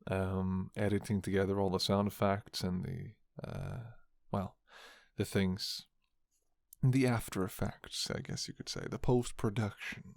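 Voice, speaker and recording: Man, low gravelly voice, casual ASMR chat, hesitant and rambling, musing. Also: lively intonation, unhurried, no echo. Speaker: host of an ASMR roleplay audio channel.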